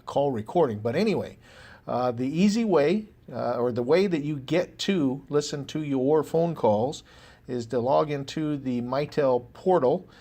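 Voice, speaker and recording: Halting, conversational man giving a software walkthrough. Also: medium pitch. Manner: halting, filler-heavy, conversational explaining. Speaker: software trainer, company support staffer. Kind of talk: informal screen-recorded tutorial walkthrough.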